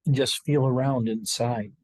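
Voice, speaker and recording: A man leading a guided meditation as a spiritual teacher, speaking to a group in a slow, halting, unscripted way with long pauses. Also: mid-range voice, fairly even intonation, darkish tone, slightly rough, no room sound.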